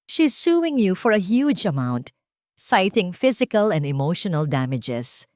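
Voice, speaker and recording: A woman's voice: on the low side, sing-song, measured pace, darkish tone, no room sound. A serious lawyer with a grave, pressing manner, acted out by a woman storyteller. It is dramatized audio-story dialogue, recorded very dry. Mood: grave, urgent. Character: serious, urgent male personal lawyer on phone.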